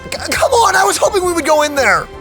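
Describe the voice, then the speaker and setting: A woman giving casual, expressive let's play commentary, exclaiming and reading game text aloud. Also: extremely high pitch, fast talker. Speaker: gaming video co-host. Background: game music.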